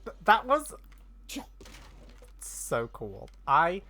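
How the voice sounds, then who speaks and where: Low-voiced, excitable woman gamer. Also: extremely slow. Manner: excitable, gushing. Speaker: gamer reacting to a story quest playthrough. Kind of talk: casual, spontaneous gameplay commentary.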